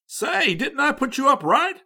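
A man voicing a brash, hearty young American male student who talks loud and slangy with an American accent. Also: high voice, very animated, moderate pace, pitched above the performer's own voice, exaggerated voice. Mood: boisterous. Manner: loud, boisterous, slangy drawl.

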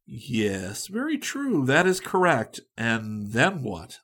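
A man playing a solemn, deliberate gentleman. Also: mid-range voice, slightly rough, full character voice. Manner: slow, calm, dryly polite.

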